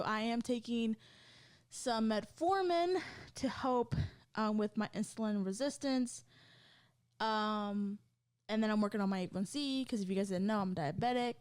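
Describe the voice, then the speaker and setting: A woman's voice: on the high side, clear, resonant tone. A woman in her thirties co-hosting a podcast, chatting casually and rambling with lots of fillers and frequent laughs. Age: 30s. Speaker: podcast co-host. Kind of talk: casual chat.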